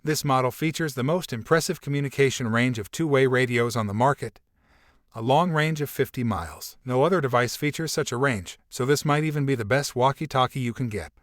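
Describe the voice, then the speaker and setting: A man's voice: medium pitch, a little fast, no echo, studio quality. A man reading a scripted product review as a narrator.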